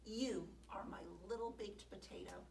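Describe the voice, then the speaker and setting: Woman voicing a loving female pet owner, warm and doting, storybook. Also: medium pitch, wildly swooping pitch, measured pace, slight background noise. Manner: fond and exclaiming. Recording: echoey room, voice from far off.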